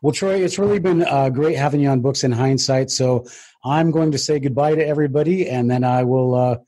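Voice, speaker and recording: Guy hosting a book interview, mellow n husky, casual questions. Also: mid-range voice, a little fast. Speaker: host of a book interview show.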